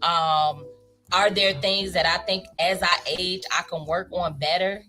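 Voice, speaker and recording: A woman's voice: fairly low pitch, steady tone, measured pace, sharp tone, faint music behind. Reflective woman in her thirties, chatting. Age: 30s. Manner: reflective, laughs often, faith-minded. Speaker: co-host. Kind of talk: casual panel chat.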